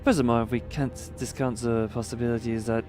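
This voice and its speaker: A man's voice: medium pitch, little pitch movement, a little fast, darkish tone. Male game streamer, mid-range voice, casual and rambling, reads lines aloud, music underneath. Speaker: livestream host playing a game. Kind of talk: casual livestream commentary, reading game dialogue aloud.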